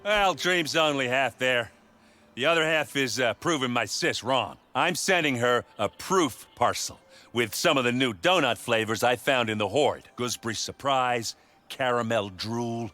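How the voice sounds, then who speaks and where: Bright, cocky, goofy sidekick. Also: male voice, medium pitch, unhurried. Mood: boastful. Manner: jokey, laid-back, boastful. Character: cocky, goofy, affectionate donut-loving male sidekick. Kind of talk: comedic video game cutscene acting. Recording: music underneath the voice. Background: music.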